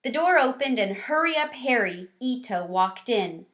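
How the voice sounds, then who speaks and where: A woman reading a children's storybook aloud in an expressive, animated way. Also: a little high, a little slow. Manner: animated, with varied character lines. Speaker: read-aloud teacher or storyteller.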